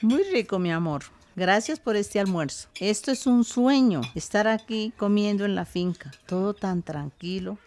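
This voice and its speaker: An older woman with a Colombian accent playing a warm, contented grandmother reminiscing with her family in an amateur radio play. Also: mid-range voice, normal speed, few pauses, slight background noise, lightly acted. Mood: grateful, content. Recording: music under the voice. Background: music.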